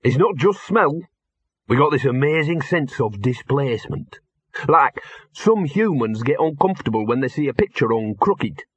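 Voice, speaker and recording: A man's voice: medium pitch, animated, normal speed, slightly rough, no room sound, muffled recording, voice pushed up. A man voicing a mournful, know-it-all talking dog in an audiobook, muttering and whining in colloquial speech. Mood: explaining, exasperated. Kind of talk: audiobook character voice.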